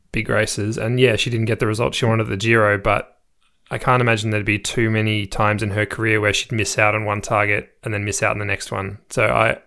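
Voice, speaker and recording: Male co-host, low and gravelly, measured and thoughtful. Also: pushed and bright. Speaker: podcast co-host. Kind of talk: casual cycling chat.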